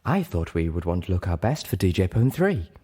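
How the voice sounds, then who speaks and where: A man voicing a prim, caring female pony who speaks in a polite, gently reproving way during an audiobook. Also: sits low, big pitch swings, a little fast, breathy edge, exaggerated voice. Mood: calm, fussing.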